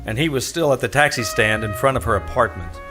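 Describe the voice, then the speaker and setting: A wry, smooth-talking private detective, played by a man in a radio drama. His voice sits in a middle male register and he talks laconically, like someone telling his own case. Music runs behind him. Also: mid-range voice, quick pace, natural voice. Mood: wry, brooding. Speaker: lead actor and narrator. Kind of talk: old-time radio detective drama, first-person narration.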